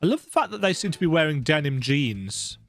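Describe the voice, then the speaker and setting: A man's voice: a little high, sing-song, a little fast, vocal fry, fairly bright tone. A man hosting a let's play, giving casual, rambling, conversational commentary on a video game.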